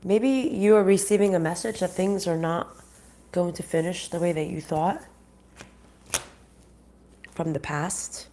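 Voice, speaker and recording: A woman's voice: on the low side, steady tone, a little slow, long pauses, mellow. A woman with a nasal, stuffy voice from a sinus infection, giving a live oracle card reading in a chatty style with stops and restarts. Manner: chatty, stops and restarts, greets viewers by name. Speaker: spiritual reader and channel host.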